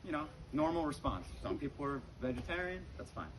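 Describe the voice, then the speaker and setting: A man tells stories as a stand-up comedian in a conversational way. His voice sits a little high for a man. The live recording is clearly noisy, with audience laughter behind him. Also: fairly quick. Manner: conversational, frequent "you know", acts out dialogue.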